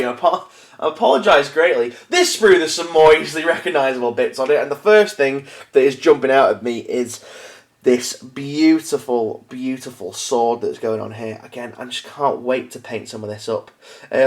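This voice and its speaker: A man's voice: medium pitch, moderate pace. A young man with a cold, chatting casually and apologetically to camera, full of fillers and restarts. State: stuffy with a cold. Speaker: miniatures hobby video host. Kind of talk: casual unboxing talk to camera.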